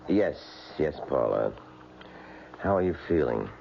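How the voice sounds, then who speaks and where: An actor playing a grave, hesitant office boss in an old radio drama. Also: male voice, very deep voice, sing-song, a little slow, vocal fry, a little hiss or hum, heavily acted. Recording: dull old radio broadcast recording.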